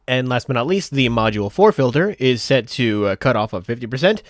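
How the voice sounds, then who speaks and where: A man narrating a software tutorial step by step in a methodical, explanatory way. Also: mid-range voice, very animated, a little fast, dry recording, studio quality. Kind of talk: step-by-step instructional voice-over.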